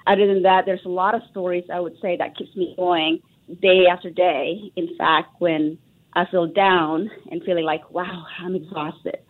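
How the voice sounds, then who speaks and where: A woman raised in Texas, answering a radio interviewer in an earnest, reflective way with many fillers and restarts. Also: on the low side. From Indonesia, raised in Texas. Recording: tinny phone line.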